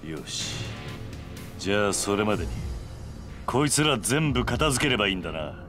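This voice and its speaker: An actor playing a stern, stoic yakuza hero in a video game cutscene, giving terse, resolute commands. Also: male voice, lowish voice, very animated, moderate pace, a bit bright, soft background music. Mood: cold determination.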